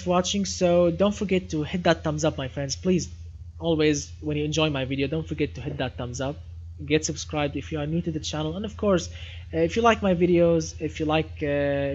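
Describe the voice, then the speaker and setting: A dull, noisy recording of a man with a Syrian Arabic accent hosting a live gaming stream. His voice sits a little high for a man. He talks casually and with enthusiasm, often doubling back on his phrases. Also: a little mellow. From Damascus, Syria. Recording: muffled lo-fi audio, clear background noise.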